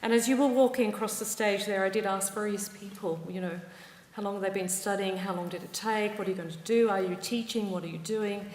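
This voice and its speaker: Australian woman addressing a reverberant hall. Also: mid-range voice. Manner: warm, measured speech with wry asides. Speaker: university ceremony presider. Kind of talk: formal ceremony address. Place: large ceremony hall.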